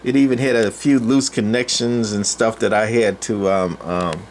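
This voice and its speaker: Halting casual man, noisy recording. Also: lowish voice, steady tone. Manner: halting, rambling, informal. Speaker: channel host, vintage CB radio collector. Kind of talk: casual off-the-cuff product demonstration. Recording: clear background noise, room ambience.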